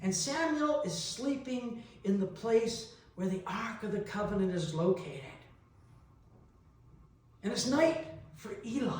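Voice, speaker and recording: A heavily reverberant church recording, caught at some distance, of a middle-aged man giving a sermon. He speaks slowly and deliberately in a reflective style. He leaves long pauses between phrases and poses rhetorical questions. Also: high-pitched. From New Brunswick, Canada. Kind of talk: reflective sermon with personal anecdotes. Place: large reverberant church interior. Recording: echoey church, picked up from a distance.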